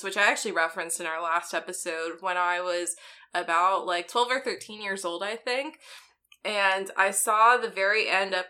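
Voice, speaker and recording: A young woman co-hosting a tabletop game podcast in casual chat. She has a somewhat monotone voice and rambles in a relaxed way. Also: fairly low pitch. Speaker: podcast player and co-host.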